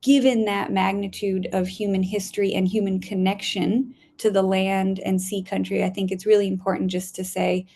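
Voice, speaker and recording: A woman from Spain talks as a guest scientist over a video call. Her voice has a gravelly, fry-laden edge, and she chats loosely, full of ums and restarts, laughing often. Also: medium pitch, little pitch movement, normal speed, hardly any pauses, warm tone.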